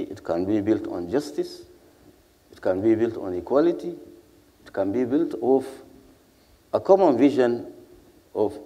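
An older man with a Sudanese accent speaking as a panelist, in a measured, deliberate way with earnest rhetorical repetition. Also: lowish voice, warm tone. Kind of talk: panel speech, earnest public address. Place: seated on a stage in a large hall. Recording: echoey hall with long reverb.

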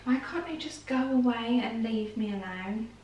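A woman's voice: fairly high pitch, expressive, moderate pace, a little mellow, muffled recording, slight background noise. A young British woman chatting casually as she cleans and decorates her living room, recorded on a camera across the room. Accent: British English. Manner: chatty and upbeat, easily startled. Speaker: home vlogger. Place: living room at home, indoors. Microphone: camera mic from across the room. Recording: roomy, distant camera sound.

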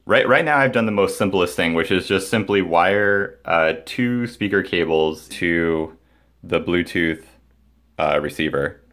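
Man, low voice, informal, enthusiastic, DIY vlog host, casual talk to viewers, home recording. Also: lively intonation, measured pace, a bit bright. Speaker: DIY video maker, host. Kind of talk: casual hobby project vlog, talking to viewers. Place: indoors, small home room.

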